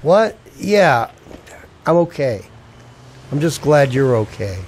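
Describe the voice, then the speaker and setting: A man's voice: fairly high pitch, sing-song, slow, darkish tone, slight background noise, heavily acted. An actor playing a haunted married man, narrating in a terse, brooding radio-play noir style. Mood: anxious.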